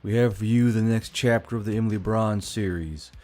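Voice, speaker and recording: A man's voice: on the low side, brisk, a little mellow. A man speaking casually as the host, introducing a story to his regular audience. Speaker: channel host. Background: background music.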